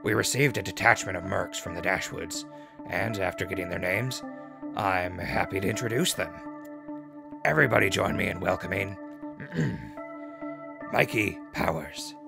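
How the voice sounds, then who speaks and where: An actor playing a folksy, upbeat radio host and newsreader in a post-apocalyptic settlement drama, chatty and theatrical. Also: male voice, deep voice, measured pace, gravelly, long pauses, music in the background, exaggerated voice. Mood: cheerful, welcoming. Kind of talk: radio drama news broadcast.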